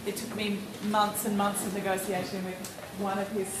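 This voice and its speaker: A woman's voice: mid-range voice, level tone, moderate pace. A woman hosts a symposium and introduces a speaker, giving thanks with an occasional stumble. She sits far from the microphone in an echoing room, with noise underneath. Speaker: symposium host. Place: lecture room or studio space. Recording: roomy hall from far off, noisy.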